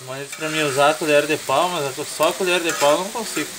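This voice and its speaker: A man with a southern Brazilian accent presents a home recipe in a casual, joking way. He explains things in colloquial speech. Frying and stirring sizzle clearly behind him in the kitchen. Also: on the high side, fast talker, background noise. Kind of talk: casual cooking chat.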